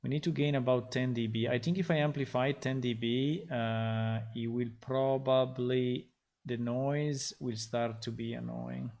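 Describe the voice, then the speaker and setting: A man speaking at an unhurried, slow pace in a middle pitch. He talks casually as a game developer working on a live stream. He thinks aloud, stopping and restarting with many fillers. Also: steady tone, vocal fry, darkish tone, slight background noise.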